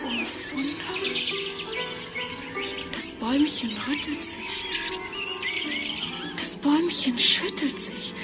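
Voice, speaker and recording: Sweet gentle young fairy tale girl, high and dreamy, music behind. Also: slow, long pauses. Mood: awed, dreamy. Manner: dreamy, declaimed. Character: gentle, wistful young Cinderella. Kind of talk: German fairy-tale radio play acting. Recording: vinyl record transfer with clear music.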